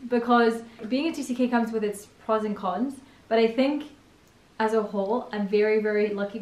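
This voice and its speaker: A woman's voice: medium pitch, darkish tone. A young woman from Spain hosting a casual chat, talking with lots of fillers and laughing easily. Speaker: channel host. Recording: echoey room.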